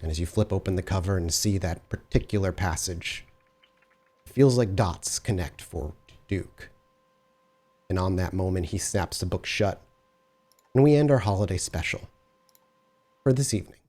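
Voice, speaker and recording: A man's voice: low-pitched, moderate pace, pauses often, darkish tone. A man running a tabletop role-playing game as storyteller, narrating scenes and answering players, often restarting his sentences. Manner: descriptive, restarts mid-sentence.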